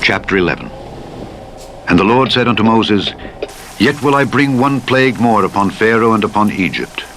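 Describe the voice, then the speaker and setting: A man with a fairly low voice narrates scripture. His delivery is solemn, formal and measured, in the manner of a Bible audiobook. Clear engine and road noise sits behind the reading. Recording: steady vehicle noise over playback. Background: truck simulator engine and road noise.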